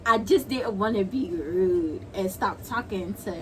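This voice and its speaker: A young American woman talks casually in a medium-pitched voice. Her delivery is slangy and halting, like a friend giving her side. Clear background noise runs behind her. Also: fairly bright tone, poor audio. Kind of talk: casual chat.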